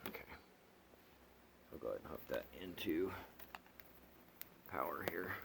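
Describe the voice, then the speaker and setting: A man with a very low voice walks through a casual hands-on demonstration. He is plainspoken and sounds like a hobbyist partly talking to himself as he works. A light layer of background noise sits under the homemade recording. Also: measured pace, gravelly. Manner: plainspoken, muttering to himself while working. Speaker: hobbyist radio reviewer. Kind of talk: casual unscripted product demonstration. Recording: steady background noise, amateur home video.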